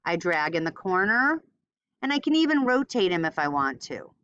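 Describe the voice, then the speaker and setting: A woman teaching a step-by-step software tutorial informally, thinking aloud as she demonstrates. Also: lowish voice, very animated, moderate pace, dull audio, slight background noise. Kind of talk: casual screen-recorded step-by-step tutorial.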